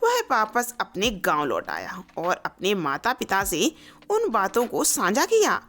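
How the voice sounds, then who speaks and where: A woman's voice: medium pitch, wildly swooping pitch, unhurried, a bit bright. A woman reading a scripted moral story as a storyteller.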